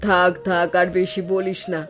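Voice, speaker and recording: Woman doing a gentle grandma, old n ailing, doting cartoon family voice, music under it. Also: lowish voice, completely flat delivery, moderate pace, lightly acted. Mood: fond. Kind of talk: animated family story dialogue.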